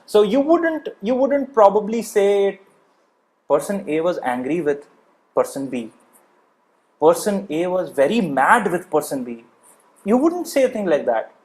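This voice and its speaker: A man's voice: sits high, animated, measured pace, pauses often, darkish tone, low-quality recording. A man coaching public speaking in an instructional lecture, explaining points emphatically and checking in with the listener.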